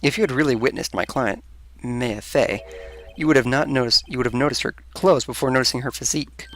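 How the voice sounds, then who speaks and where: A man's voice: medium pitch, fairly quick, a bit bright, a little hiss or hum, lightly acted. A man voicing a determined young male defense attorney in an assertive, argumentative tone.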